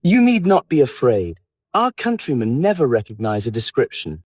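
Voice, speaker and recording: A man's voice: medium pitch, sing-song, normal speed, a little mellow, husky, no room sound, clean studio sound, voice pushed up. A man voicing a witty, languid English aristocrat in an audiobook, teasing and aphoristic in a theatrical way. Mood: dry wit. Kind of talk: audiobook character dialogue.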